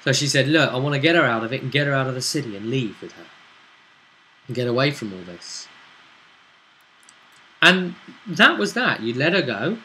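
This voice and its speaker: A man's voice: mid-range voice, sing-song, normal speed, long pauses, pushed and bright, a little hiss or hum, low-quality recording. A man hosting as game master, chatting casually as he recaps a tabletop roleplay campaign. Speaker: game master and show host.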